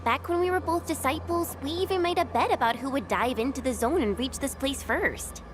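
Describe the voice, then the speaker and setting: An actress playing a caring, earnest young female comrade in a video game, with an expressive, friendly manner. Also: high-pitched, a little fast, noisy background, natural voice. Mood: earnest, concerned. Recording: loud game music behind. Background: loud music.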